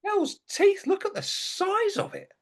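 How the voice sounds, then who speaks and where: A man's voice: very high voice, wildly swooping pitch, unhurried, creaky, full character voice. An actor playing an indignant, sardonic dead young man who narrates a comic stage monologue in a wry, theatrical way.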